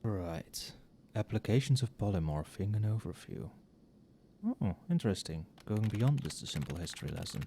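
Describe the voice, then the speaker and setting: A man playing a tipsy, friendly professor in a casual ASMR roleplay, speaking softly and muttering as if to a colleague. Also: on the low side, animated, moderate pace, a little hiss or hum. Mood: muttering, absorbed. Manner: soft-spoken, muttering. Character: tipsy, friendly, conscientious male magic-university professor working late.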